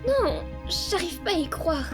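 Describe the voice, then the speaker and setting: A woman's voice: very high-pitched, big pitch swings, a little slow, full character voice. A woman playing a proud, easily flustered young noblewoman in an anime fan dub, exclamatory and theatrical. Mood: indignant. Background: background music.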